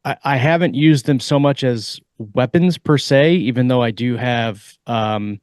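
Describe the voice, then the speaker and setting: A man on a podcast panel explaining ideas at length in a rambling way, with many pauses and fillers. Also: mid-range voice, darkish tone, smooth, no room sound, clean studio sound. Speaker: podcast co-host and author. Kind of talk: casual panel discussion.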